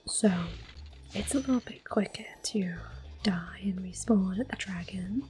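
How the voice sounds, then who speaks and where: A woman giving soft-spoken ASMR gameplay commentary in a breathy half-whisper, gently narrating each move. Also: medium pitch, measured pace. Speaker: game streamer, host.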